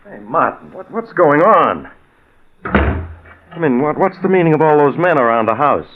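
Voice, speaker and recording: An actor playing a concerned, steadying friend, earnest and admonishing in a deliberate 1940s radio drama style. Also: male voice, on the high side, sing-song, background noise. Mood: bewildered. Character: concerned, steadying male friend of a doomed man. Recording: very muffled old 1940s radio recording.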